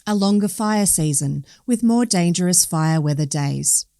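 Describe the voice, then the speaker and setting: Australian female news reader, lowish voice, dry close sound, scripted narration. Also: expressive, measured pace, mellow, no room sound. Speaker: newspaper story reader.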